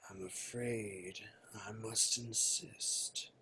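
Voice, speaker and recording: Casting: a man as an imperious elderly goblin banker, extremely husky, haughty and formal, for a script read. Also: on the low side, fairly even intonation, drawn-out words, pushed and bright, exaggerated voice. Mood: firm, polite. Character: aged male goblin bank manager, proud and imperious. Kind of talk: amateur screenplay table read.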